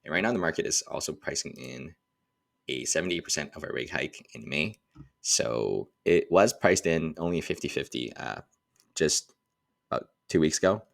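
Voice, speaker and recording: An extremely low-pitched man with a fry-laden, creaky voice gives informal trading commentary. He sounds like he is thinking out loud, stopping and starting as he walks viewers through the screen. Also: animated. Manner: informal, thinking aloud, halting. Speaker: stock trader giving market updates. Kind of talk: casual screen-share market commentary.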